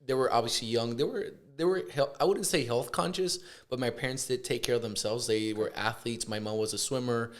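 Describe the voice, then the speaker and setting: A man from Puerto Rico, a chiropractor, chatting casually in an interview, relaxed and hesitant with many fillers. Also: mid-range voice, fairly quick, few pauses. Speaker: chiropractor, guest.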